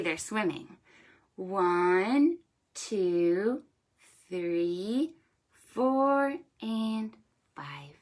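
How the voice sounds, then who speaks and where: A woman hosting storytime for preschoolers in an exaggerated sing-song way, encouraging them and pausing after her questions. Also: on the high side, sharp tone. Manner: sing-song, exaggerated delivery for small children. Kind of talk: interactive storytime for young children, counting rhymes.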